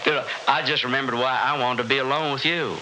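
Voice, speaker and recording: A man's voice: medium pitch, fairly even intonation, fast talker, close to an everyday voice. An actor playing a mature, hearty, teasing Texas businessman in a 1960s radio drama. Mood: teasing, defensive. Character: mature, breezy Texas businessman, impatient with high society. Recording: noisy 1960s radio recording.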